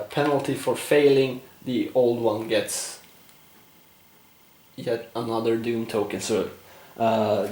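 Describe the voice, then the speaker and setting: A man's voice: medium pitch, dark tone. Man explaining a board game, echoey room. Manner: explains rules while thinking aloud, frequent "uh" fillers. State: losing his voice, clearing throat. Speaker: board game player narrating a play-through. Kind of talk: casual gameplay commentary.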